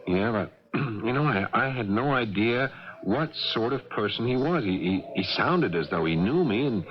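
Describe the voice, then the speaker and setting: An actor playing a confident, argumentative friend in a 1980s radio drama, speaking emphatically and stammering when excited. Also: male voice, medium pitch, normal speed, close to an everyday voice. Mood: agitated. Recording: noisy, muffled old radio broadcast.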